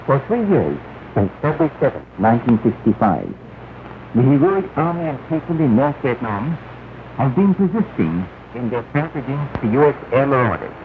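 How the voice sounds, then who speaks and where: A man announcing 1960s propaganda news on the radio, formal and emphatic, phrasing deliberately. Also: very animated. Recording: noisy 1968 shortwave radio, narrow band, rumble.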